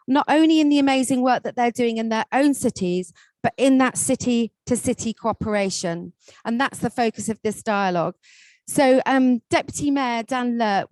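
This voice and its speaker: Woman, from England, council leader, panel host, formal welcome, frequent ums, fairly high voice, dry sound. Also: dry recording, rough recording. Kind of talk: moderating a policy panel. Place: conference hall. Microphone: table microphone.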